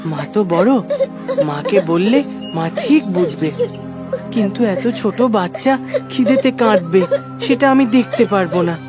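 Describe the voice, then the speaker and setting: Gentle young pupil, voiced by a woman, music behind. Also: mid-range voice, expressive, moderate pace, close to an everyday voice. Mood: thoughtful. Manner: gentle, soothing, coaxing. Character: kind, poor young pupil, tender and self-sacrificing. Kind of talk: cartoon voice acting.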